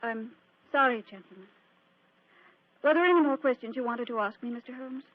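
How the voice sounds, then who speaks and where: A woman's voice: a little high, brisk, no echo, close to an everyday voice. An actress playing a poised, imperious young widowed lady in an old-time radio drama, with a gracious, theatrical and commanding manner. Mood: composed. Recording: dull, lo-fi old radio recording.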